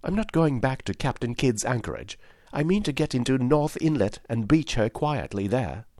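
Man playing a plucky young cabin boy, raised high voice, eager, brisk, dry sound. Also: animated, dark tone, dry recording, lightly acted. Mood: firm. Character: bold young cabin boy taking command.

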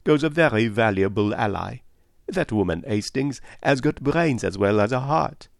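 A man voicing a shrewd, calm and courteous detective in an audiobook, with a measured, probing manner. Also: mid-range voice, creaky, dry recording, clean studio sound. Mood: grave. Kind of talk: audiobook character voice.

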